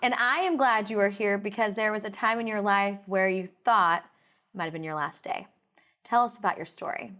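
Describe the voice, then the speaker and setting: A woman's voice: medium pitch, a little fast, pushed and bright. A woman hosting a talk show and interviewing guests.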